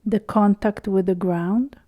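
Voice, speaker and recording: A woman guiding a meditation in a soothing, unhurried way, pausing often between short phrases. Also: mid-range voice, steady tone, no echo, lo-fi sound. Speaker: meditation guide. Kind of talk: slow guided body-scan meditation.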